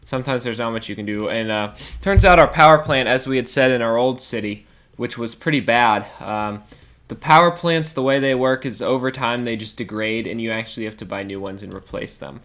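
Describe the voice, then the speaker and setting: Man, stuffed-up nose, casual let's play host, chatty and laughing, mid-pitched voice. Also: fairly quick, a bit bright. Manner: chatty, laughs often, thinks aloud. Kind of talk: casual gameplay commentary.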